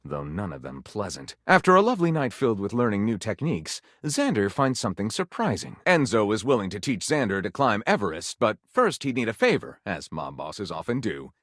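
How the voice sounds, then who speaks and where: Game storyteller: pulpy detective-tale narrator, arch and melodramatic, highly animated pitch, dry close recording. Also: male voice, dry recording. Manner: melodramatic, arch, tongue-in-cheek. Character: theatrical storyteller narrating pulpy detective adventures with mock gravity. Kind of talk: dramatic scripted story narration.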